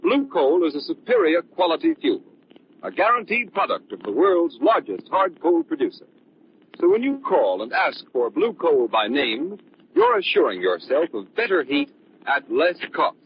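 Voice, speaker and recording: A male announcer reads a script in 1930s radio manner. His voice is fairly high with dramatic swings in pitch. The sound is dull, with faint noise. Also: wildly swooping pitch, moderate pace. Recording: muffled, noisy 1930s radio recording.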